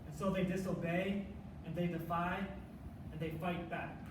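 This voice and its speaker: A young man performing reader's theater with an earnest, measured spoken-word delivery. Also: sits high, level tone. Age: young adult. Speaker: college forensics team performer. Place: stage performance space. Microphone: distant room mic. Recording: echoey, distant, noisy live room.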